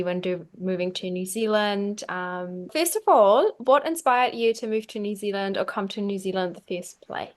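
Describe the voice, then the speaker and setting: Upbeat woman hosting a podcast. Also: medium pitch. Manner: upbeat and encouraging. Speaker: podcast host. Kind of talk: casual podcast chat.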